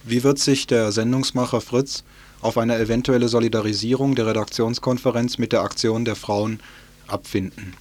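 A man's voice: mid-range voice, steady tone, moderate pace, darkish tone. A man presenting radio news from a script in a sober, matter-of-fact way.

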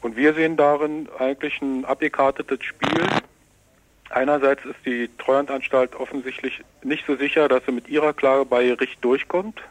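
A man's voice: mid-range voice, fairly even intonation, normal speed, no echo, rough recording. A man giving explanatory interview answers in formal, legalistic wording, hesitating often. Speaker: newspaper representative being interviewed.

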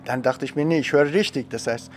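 Man, medium pitch, reflective, halting, interview storytelling. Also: a little hiss or hum. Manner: reflective, with frequent fillers and restarts. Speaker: interviewee, education trainer. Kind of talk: interview narrating a personal story.

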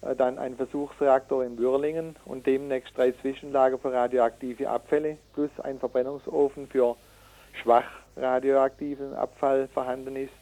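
A man speaks in a radio interview as a campaigner. His voice is dark and mellow at an average pitch. He proceeds haltingly, correcting himself, and words his points with indignant emphasis. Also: little pitch movement, poor audio. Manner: halting, with many hesitations and self-corrections. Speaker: activist interviewee from a citizens' environmental initiative. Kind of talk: radio interview, reading out figures and arguing.

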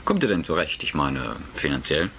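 A man playing a suave, calm elegant uncle. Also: a bass voice, moderate pace, slight background noise, exaggerated voice. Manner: calm, polished, smooth-talking. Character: suave, elegant man in his late thirties.

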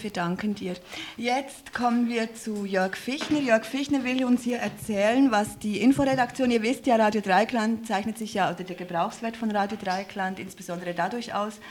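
A woman's voice: mid-range voice, slight background noise. A woman presenting live in a radio studio, speaking in an earnest, wordy way and often correcting herself. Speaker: radio presenter and editorial team member.

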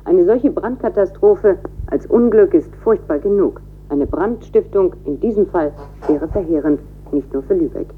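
A woman's voice: lowish voice, animated, normal speed, hardly any pauses. A woman reading a scripted news report in a grave, formal manner, heard in a played broadcast clip. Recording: tinny, noisy, humming off-air TV clip.

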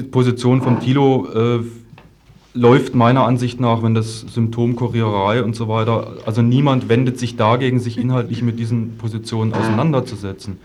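A man's voice: on the low side, darkish tone. A man speaking as a guest in a political debate, arguing at length in formal, somewhat hesitant language. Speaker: political activist as discussion guest. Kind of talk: political debate, argumentative talk.

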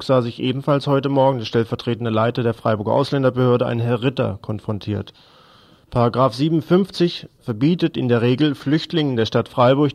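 A man's voice: mid-range voice, a little mellow. A man presenting a radio news report, mixing reading with his own commentary.

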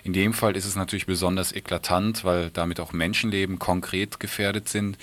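A man hosting a radio news programme, putting questions to guests in an informal interview style. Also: on the low side.

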